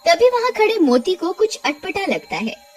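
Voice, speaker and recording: A woman's voice: very high voice, sing-song, normal speed, faint music behind. A woman reading scripted narration for a cartoon horror story.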